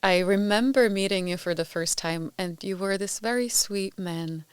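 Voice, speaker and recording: A woman's voice: medium pitch, normal speed, smooth, no echo. A woman interviewing a guest in a casual, chatty way, reminiscing and rambling a little. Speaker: interviewer, former PhD student.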